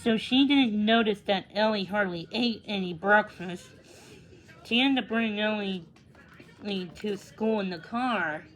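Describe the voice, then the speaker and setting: A woman's voice: mid-range voice, measured pace, poor audio. A woman reading a children's storybook aloud as a read-along storyteller, stumbling now and then over the text.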